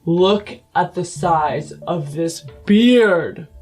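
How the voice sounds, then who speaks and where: A man's voice: high-pitched, very slow, a little mellow, soft background music. A man playing a tabletop roleplaying game, speaking in character as a player.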